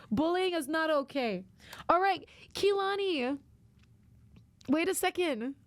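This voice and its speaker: A woman's voice: very high-pitched, expressive, takes it slowly, dry recording. A young woman streaming a game, chatting casually and rambling with lots of fillers, her voice a little worn out. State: slightly worn-out voice. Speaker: variety streamer. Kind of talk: casual livestream chat while gaming.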